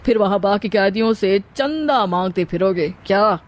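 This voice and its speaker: A woman's voice: mid-range voice, normal speed, lightly acted. A woman voicing a stern, authoritative male politician with a firm, warning manner in a moral story.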